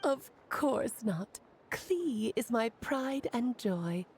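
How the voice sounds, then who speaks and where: A doting game mother, breezy and proud. Her voice is fairly high and lilting with some creak, over faint ambience. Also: animated, very slow, a little mellow, slight background noise, heavily acted. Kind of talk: video game voice acting.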